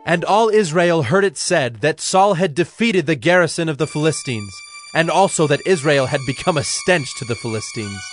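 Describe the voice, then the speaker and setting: A man narrating dramatized scripture in a measured, solemn way. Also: on the high side, lively intonation, faint music behind.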